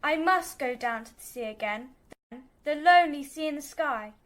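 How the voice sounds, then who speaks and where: A woman's voice: high-pitched, expressive, moderate pace, a bit bright. A woman playing a dutiful young female who declaims poetry in a radio drama. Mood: declaiming. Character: dutiful young female reciting a poem at home.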